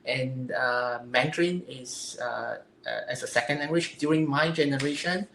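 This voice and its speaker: A woman with a Singaporean English accent gives earnest, halting interview answers and often pauses to search for her words. Her voice rings out in a roomy, echoey space, and a faint ambient hum sits underneath it. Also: a bass voice, creaky, a bit bright. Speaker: interview guest, physique competitor.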